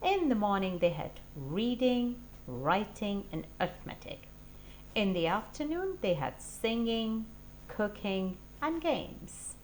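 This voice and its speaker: Expressive woman reading a children's storybook, noisy recording. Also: medium pitch, takes it slowly. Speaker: teacher reading a storybook aloud.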